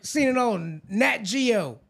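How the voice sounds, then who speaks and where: A man's voice: very high voice, animated, takes it slowly, vocal fry, a bit bright, exaggerated voice. A man putting on a brash, ignorant, drawling yokel who brags about things he gets wrong. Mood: boastful. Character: ignorant loud-mouthed American yokel man.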